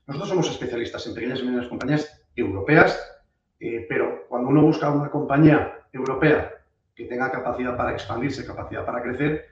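Man, hoarse from a cold, halting finance talk, far from the mic in a very echoey room with a muffled sound. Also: mid-range voice. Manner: hesitant, many fillers and restarts. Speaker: webinar speaker, fund manager. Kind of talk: presenting a finance webinar.

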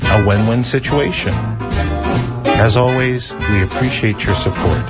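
A man reading an upbeat radio donation spot with a sales-pitch manner. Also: unhurried. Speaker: announcer. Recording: dull lo-fi radio with loud music.

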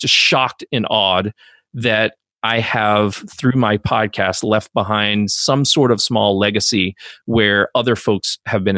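A man's voice: on the low side, a bit bright, no echo. A man as a podcast guest, speaking earnestly and with self-deprecation about his feelings. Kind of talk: casual interview conversation.

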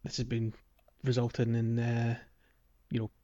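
A man chatting on a gaming podcast in a loose, rambling way, often stopping to restart his thoughts. His pitch sits mid-range for a man, and the recording is slightly muffled and lo-fi. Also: completely flat delivery, measured pace, dark tone. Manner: meandering, many fillers and restarts, laughs often. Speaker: podcast host. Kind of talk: casual gaming podcast chat.

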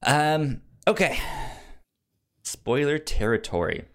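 Male podcast host, lively swings in pitch, enthusiastic, chatty, trips over words. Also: slow pace. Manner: upbeat and enthusiastic. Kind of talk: casual chat.

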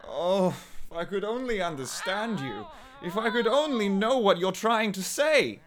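An actor playing an anguished, obsessive husband in a melodramatic horror radio play, theatrical and pleading between murmurs and cries. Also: male voice, sits high, expressive, measured pace, fairly bright tone, slight background noise. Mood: tender, unhinged. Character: anguished, obsessive husband devoted to his cursed wife.

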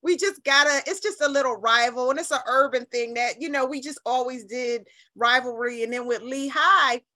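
Middle-aged American woman, high-set and very bright voice, colloquial storyteller in a radio interview. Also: normal speed. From New Haven, United States. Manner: chatty, colloquial, emphatic, storytelling. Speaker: radio interview guest, nonprofit leader. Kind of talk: animated conversational interview.

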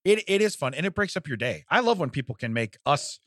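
Animated man in his forties, dry close sound. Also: fairly high pitch, fast talker, no room sound. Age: 40s. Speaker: comedy podcast co-host. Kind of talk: casual comedic chat, reading out a news story.

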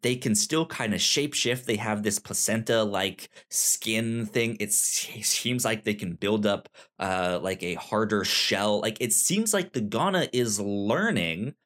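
A man's voice: on the low side, measured pace, hardly any pauses, fairly bright tone. A man co-hosting a casual book discussion podcast, thinking aloud and often repeating words as he goes. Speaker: podcast co-host reviewing manga.

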